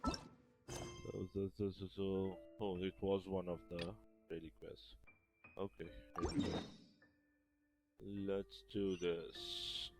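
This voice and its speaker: A man's voice: low voice, little pitch movement, takes it slowly, long pauses. A man casually commenting while he plays a video game, thinking aloud in an offhand, chatty way. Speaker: gamer. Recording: game music playing behind. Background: game music and sound effects.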